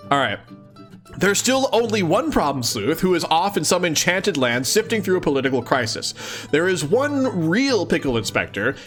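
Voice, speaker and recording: Animated man, lighter-pitched, reacting as he reads. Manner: amused, exclaiming, often chuckling. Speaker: host of a let's-read series. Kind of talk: casual reading aloud with running commentary.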